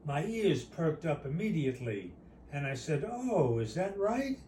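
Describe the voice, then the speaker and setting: Unhurried man reading a story, roomy recording. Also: on the high side, sing-song, warm tone. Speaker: author reading own short story. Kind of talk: narrative reading aloud of a personal story. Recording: roomy, distant mic, faint noise.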